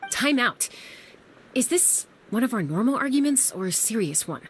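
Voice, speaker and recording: A woman's voice: fairly high pitch, sing-song, normal speed, slight background noise. A woman playing a proud, headstrong older sister and young fighter, defiant and curt, in a video game. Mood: firm, curt. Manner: defiant, self-assured, curt.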